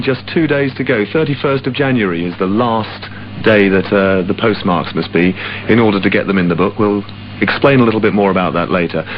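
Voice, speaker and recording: A man's voice: lowish voice, a little hiss or hum. A man presenting a late-evening radio show from a ship's studio, easygoing and chatty with small hesitations. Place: offshore radio ship studio. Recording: dull AM radio aircheck with music under.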